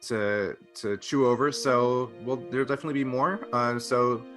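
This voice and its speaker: A man hosting a casual podcast discussion, thinking aloud in a halting way. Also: mid-range voice, steady tone, fairly bright tone, faint music behind. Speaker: podcast host.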